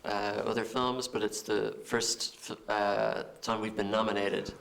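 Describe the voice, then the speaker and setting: An Irish film director answering interview questions, halting and repeating words as he thinks. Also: fairly low pitch, level tone, creaky, a bit bright. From Ireland.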